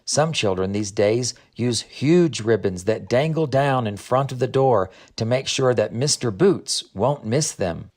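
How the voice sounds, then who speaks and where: A man's voice: mid-range voice, animated, measured pace, hardly any pauses, darkish tone. A man voicing a friendly woman guide in an audiobook story, patiently explaining things. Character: Greta, friendly woman guide explaining her land's customs. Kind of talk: audiobook character dialogue.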